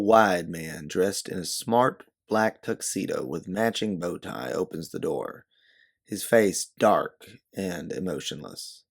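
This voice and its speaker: A man's voice: deep voice, creaky. A man narrating a scripted second-person story as an audiobook-style storyteller. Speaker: narrator and author.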